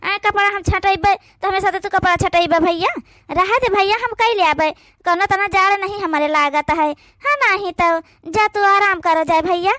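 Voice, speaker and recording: A weary young sister from an Awadhi cartoon, voiced by a woman, talking in a plaintive, high voice. The recording is dry and close. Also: normal speed, no room sound. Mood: reassuring. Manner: plaintive, complaining softly. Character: overworked young unmarried sister, sad and gentle. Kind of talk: cartoon voice acting.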